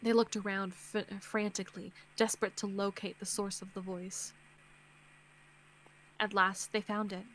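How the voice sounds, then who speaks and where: A woman reading visual novel narration aloud on a game stream in an expressive way, close to the mic. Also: mid-range voice, unhurried, slight background noise, rough recording. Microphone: close streaming mic, dry sound.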